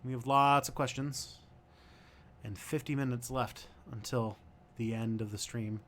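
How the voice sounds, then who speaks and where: A young man from Canada chats through viewer questions on a livestream, pausing and restarting as he thinks out loud. His voice sits at an ordinary middle pitch. He sounds casual and unscripted. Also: steady tone, slight background noise. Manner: thinking aloud, hesitant with many ums and restarts.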